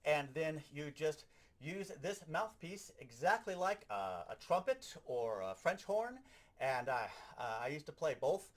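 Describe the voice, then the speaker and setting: A man with a slightly high voice teaches in a practical, show-and-tell style. His intonation moves up and down a great deal as he explains each step like an animated instructor. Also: measured pace. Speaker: sound effects instructor. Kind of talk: hands-on instructional demonstration.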